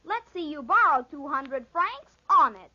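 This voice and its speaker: A woman's voice: high voice, animated, unhurried. A woman playing a sly, teasing, insolent young boy in a 1940s radio drama. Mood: taunting. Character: sly, taunting young boy bargaining with his cousin. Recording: muffled 1940s radio recording.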